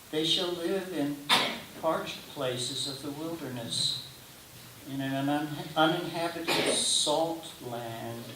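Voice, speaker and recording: Slow, echoey man reading scripture. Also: mid-range voice. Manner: deliberate, declamatory phrasing with pauses between short phrases. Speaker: scripture reader. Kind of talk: solemn liturgical scripture reading. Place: roomy indoor church gathering space. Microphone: distant microphone. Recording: distant mic, echoey room, clear hiss.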